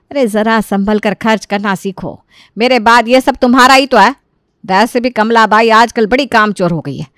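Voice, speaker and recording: A woman's voice: fairly high pitch, a bit bright, no room sound, clean studio sound. A woman voicing a bossy, sarcastic older mother-in-law who scolds and nags in a dramatised story. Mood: irritated, scolding.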